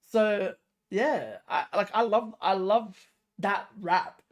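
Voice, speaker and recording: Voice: medium pitch, lively intonation, slow pace. A reaction video host giving casual, slangy music commentary, emphatic and repetitive, often throwing out rhetorical questions. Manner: informal, slangy.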